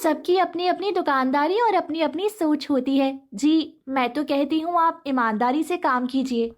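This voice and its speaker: A woman voices a principled village wife from a cartoon fable. She has a very high voice and an earnest, moralizing way of talking. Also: normal speed, faint music behind, close to an everyday voice. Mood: advising. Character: honest, cautioning village wife. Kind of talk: cartoon moral story acting.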